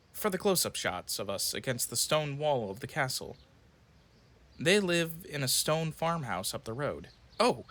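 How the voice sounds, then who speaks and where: A man playing a soft, eager teenage boy in an audio drama, with a mild, hesitant, conciliatory way of talking. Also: mid-range voice. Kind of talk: audio drama acting and narration.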